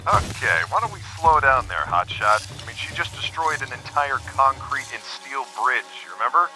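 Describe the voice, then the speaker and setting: An actor playing a protective, exasperated older brother in a video game, chiding in a natural conversational way. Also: male voice, mid-range voice, very animated, moderate pace, bright, forceful tone, rough recording. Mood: cautioning. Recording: game music behind dialogue.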